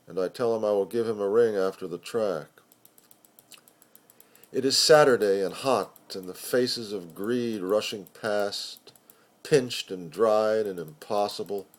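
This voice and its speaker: A man reading a narrative poem aloud in a measured storytelling manner with pauses. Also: lowish voice, a little mellow. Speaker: poetry reader. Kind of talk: dramatic spoken-word poetry reading.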